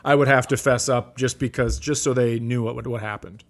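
A man hosting a comedy podcast, chatting casually with a deadpan, sardonic and sweary delivery. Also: mid-range voice, fairly quick.

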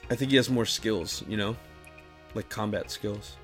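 A man co-hosting a podcast in casual, slangy banter, debating in an animated way. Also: lowish voice, normal speed, soft background music. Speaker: podcast co-host.

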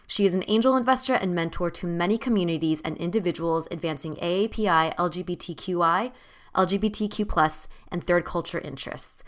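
A woman co-hosting a podcast, reading a guest's introduction in a bright, scripted way. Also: on the low side, a little fast. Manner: bright, formal, scripted delivery. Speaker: podcast co-host.

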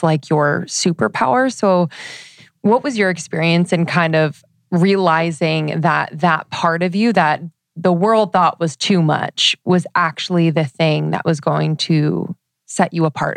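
A woman host with a notably low voice asks her guest long, reflective questions and affirms the answers. The sound is dry and close, with no room echo. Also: a little slow, vocal fry. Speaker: interview host. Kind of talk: casual interview.

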